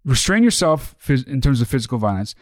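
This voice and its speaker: A man's voice: medium pitch, very animated, quick pace, dry recording, studio quality. A man co-hosting a podcast, giving earnest advice with lots of swearing and repetition. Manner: earnest, swears often, repeats himself. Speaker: podcast co-host.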